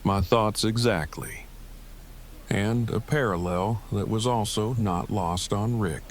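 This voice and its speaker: A man's voice: on the low side, slow, slight background noise. An actor playing a formal, verbose, earnest man surviving an apocalypse in an audio drama, speaking stiffly and precisely. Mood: dry, matter-of-fact. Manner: stiff, precise, long-winded wording, dry. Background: rain ambience.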